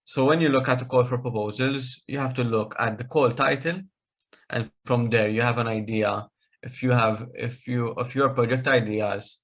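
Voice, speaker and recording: A man's voice: medium pitch, fairly even intonation, moderate pace. A man presenting an online workshop on project writing over a video call, hesitant with many fillers and restarts. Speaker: workshop trainer.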